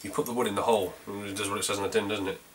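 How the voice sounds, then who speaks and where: A man's voice: on the low side, steady tone, rapid-fire, a little hiss or hum. A man with a North Yorkshire accent chatting casually through a beer review, rambling a little with plenty of ums and restarts. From North Yorkshire, England.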